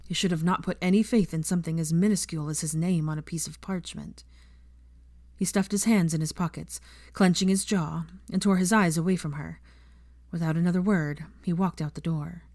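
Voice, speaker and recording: A woman reading fiction aloud as an audiobook narrator, in an expressive scripted style. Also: on the low side, brisk, dry recording, clean studio sound.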